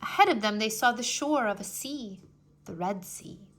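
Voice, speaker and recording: A woman reading a children's history story aloud in an expressive, deliberate, scripted storytelling manner. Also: fairly high pitch. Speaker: storybook reader.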